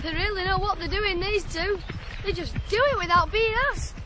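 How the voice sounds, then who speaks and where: High-voiced farm lad. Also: steady tone, normal speed, noisy background. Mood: content. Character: teenage farm lad, earnest, stubborn, homesick. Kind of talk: radio drama acting.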